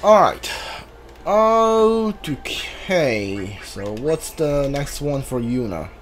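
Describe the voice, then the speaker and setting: A man's voice: fairly high pitch, very animated, drawn-out words, faint music behind. A man giving casual commentary as he plays through a video game, as a streamer. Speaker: gameplay streamer.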